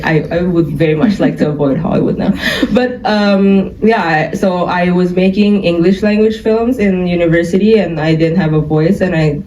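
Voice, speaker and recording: A young woman tells her story in a chatty way, laughing often between thoughts. Her voice is mellow and dark-toned. She sounds set back from the mic in a roomy studio, with a dull, muffled audio quality. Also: fairly low pitch, far from the mic. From Indonesia. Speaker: filmmaker guest. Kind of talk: interview storytelling.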